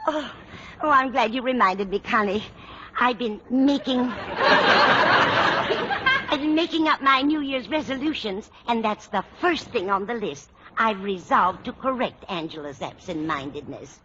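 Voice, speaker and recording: A woman's voice: a little high, lively intonation, unhurried, sharp tone. An actress playing a kindly, absent-minded older landlady who dithers earnestly in a 1940s radio comedy. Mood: muddled. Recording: muffled, hissy old radio broadcast.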